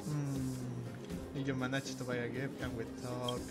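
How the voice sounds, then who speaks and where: A man doing casual gameplay commentary and reading dialogue aloud, with a hesitant, halting delivery. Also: mid-range voice, level tone. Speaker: gamer playing through a story game and reading out dialogue. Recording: music playing behind the voice. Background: game music.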